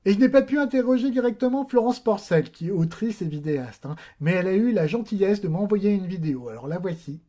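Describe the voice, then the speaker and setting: A man's voice: high-pitched, expressive, fast, hardly any pauses, dark tone. A man hosting a vlog, introducing his guests with informal linking remarks. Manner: friendly, informal linking narration. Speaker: host.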